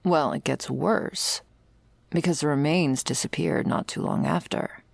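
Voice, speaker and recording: A husky woman with a fry-laden voice, acted out by a woman as a jaded vampire investigator in an audio roleplay. She confides dark lore with dry, sardonic weariness, close to the mic in a very dry space. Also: expressive, a little slow, no room sound, heavily acted. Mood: dry, confiding. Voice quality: husky, creaky low voice. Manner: dry, sardonic, swearing, hushed in places. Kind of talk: ASMR roleplay, intimate dramatic monologue.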